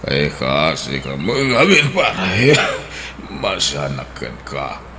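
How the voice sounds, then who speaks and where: A man's voice: rumbling low, sing-song, pushed and bright, a little hiss or hum, heavily acted. A man playing a frail, sick old man. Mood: generous, laughing. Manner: weak and slow, offering gifts cheerfully. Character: gravely ill man in his sixties, generous and kindly. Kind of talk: Ilocano radio drama acting.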